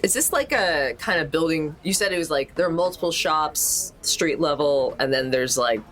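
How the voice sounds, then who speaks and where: Tabletop player, androgynous medium-pitched voice, casual and a little tentative. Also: lively intonation, moderate pace, a bit bright. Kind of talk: casual in-game chat.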